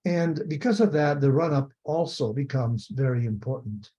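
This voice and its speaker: A man's voice: mid-range voice, lively intonation, normal speed, dark tone, slightly rough. An older man from Spain with a Spanish accent gives an explanatory lecture over a video call, methodically repeating and rephrasing key points. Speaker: retired biomechanics professor, lecturer. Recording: dull, muffled video call audio.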